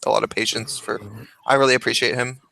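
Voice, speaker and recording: Young adult male host, bright dry voice, hesitant, keeps restarting. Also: mid-range voice, fairly even intonation, quick pace, vocal fry, no room sound, poor audio. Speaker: YouTuber and host. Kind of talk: casual group video call chat.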